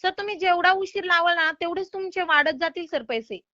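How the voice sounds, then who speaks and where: A woman's voice: very high-pitched, a little fast, pushed and bright, no echo. A woman making a loan recovery call in an insistent, polite but exasperated manner. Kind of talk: phone collection call. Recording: slightly dull phone-call audio.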